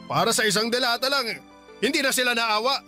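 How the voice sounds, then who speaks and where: A man's voice: very high voice, a little fast, pushed and bright, soft background music, full character voice. An actor playing a hot-tempered, self-pitying and bullying Filipino father in a Tagalog radio drama. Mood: defensive, bitter.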